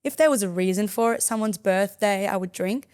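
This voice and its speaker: A woman's voice: mid-range voice, brisk, darkish tone, smooth. A young woman born in the United States, chatting as a guest on a podcast in an upbeat, easygoing way and laughing often. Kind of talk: casual interview chat. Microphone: studio podcast mic.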